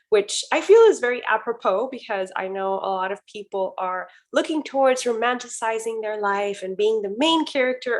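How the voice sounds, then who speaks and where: A friendly woman co-hosts a relaxed podcast over a video call, talking at a medium pitch. She rambles warmly and restarts her sentences often as her thoughts catch up. The talk feels like easy chat between friends. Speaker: podcast co-host. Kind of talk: casual chat. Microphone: video call recording.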